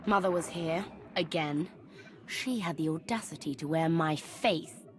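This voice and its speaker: An actress playing a haughty, vengeful villainess in a video game, speaking with imperious indignation. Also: female voice, low voice, a little slow, music in the background. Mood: indignant, proud.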